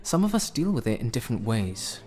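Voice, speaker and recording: Troubled, sardonic British man played by a male actor, self-questioning radio drama lead, very animated pitch. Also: brisk, close to an everyday voice. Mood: exasperated. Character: troubled, self-loathing man drifting through life. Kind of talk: naturalistic radio drama acting. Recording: crowd chatter behind the voice.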